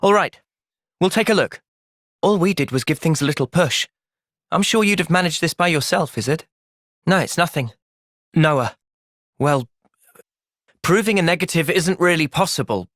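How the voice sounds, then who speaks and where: An actor playing an earnest, thoughtful young soldier in a video game, soft-spoken and sincere but resolute. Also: male voice, a little high, expressive, normal speed, pauses often, dry recording, clean studio sound. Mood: calm, thoughtful. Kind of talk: video game cutscene acting.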